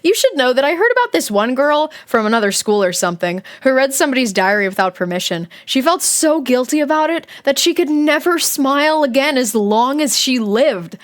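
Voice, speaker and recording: A woman's voice: high voice, sing-song, brisk, few pauses. A woman voicing a sarcastic, jealous middle school girl reading out her diary in a dramatic, snarky way. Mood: mock ominous.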